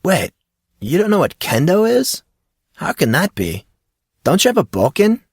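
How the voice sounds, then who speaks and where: A laid-back teenage boy, keen on martial arts, tossing around dude and bro in a raised, lively voice, as a man reads him. The delivery is close and dry. He sounds eager and easygoing. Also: sits high, a little slow, breathy edge, dry recording, voice pushed up, full character voice. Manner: casual, dude-and-bro slang.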